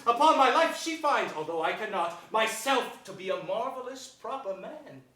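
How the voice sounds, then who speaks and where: A high-pitched, gloating villain duke. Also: male voice, expressive, pushed and bright, a little hiss or hum. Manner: declamatory, deliberate, with sardonic relish. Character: gloating, scheming villainous royal duke, mockingly self-admiring. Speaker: stage actor. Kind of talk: theatrical Shakespearean staged reading. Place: indoor theatre stage. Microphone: distant camera or stage mic. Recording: echoey theatre stage from a distance.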